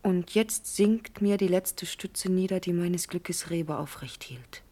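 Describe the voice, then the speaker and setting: An actress playing an orphaned, devoted young princess in a classical radio drama, declaiming verse solemnly and breathily. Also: female voice, lowish voice, slow, mellow. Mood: grieving.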